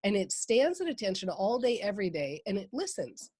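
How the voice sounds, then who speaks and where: A woman's voice: mid-range voice, sing-song, a little fast, darkish tone, breathy edge, no room sound. A woman from Minnesota with a Minnesota accent, a guest on a podcast interview, talking with enthusiasm and laughing often. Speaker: guest, mindset coach.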